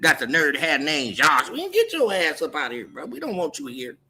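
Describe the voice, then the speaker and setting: A man's voice: fairly high pitch, huge pitch swings, a little fast, bright, forceful tone, husky. An American man from California hosting a casual livestream, talking in a slangy, repetitive, confrontational way as he moderates the chat. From Oakland, California, US.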